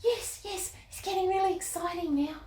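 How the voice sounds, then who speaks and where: A woman voicing a perky, eager little girl character on a children's show, in a squeaky childlike voice. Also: normal speed, a little mellow. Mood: excited, friendly. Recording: roomy, echoing indoor sound.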